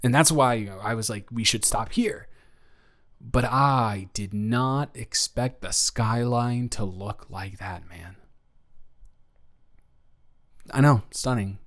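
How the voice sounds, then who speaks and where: A young adult American man acting out an awkward, talkative best friend in an audio roleplay. His mid-pitched voice runs on in casual, halting rambles, often restarting his own sentences. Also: fairly bright tone. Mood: warm, bantering. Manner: rambling, halting, self-interrupting. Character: chatty, awkward young male best friend on a road trip. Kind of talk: improvised boyfriend-style audio roleplay, casual one-sided chat.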